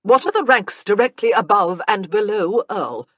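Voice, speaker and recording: A woman reads a fussy, hovering aunt who pesters with questions. The storybook voice swings widely in pitch and sounds a little husky. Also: animated, normal speed, a bit bright, no room sound. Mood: hectoring. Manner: pestering, shrill. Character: fussy, hectoring spinster aunt.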